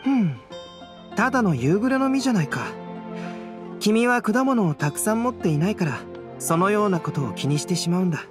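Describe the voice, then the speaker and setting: An actor playing a calm young male alchemist scholar in an anime game, measured, wry and softly teasing. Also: high voice. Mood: gently teasing. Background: game music.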